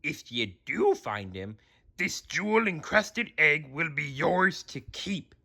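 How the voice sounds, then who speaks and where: A man's voice: fairly high pitch, big pitch swings, slow, pushed and bright, voice pushed up, full character voice. A man voicing a greedy, bossy male cartoon crab who barks his orders, in a storybook read-aloud. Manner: barking, commanding.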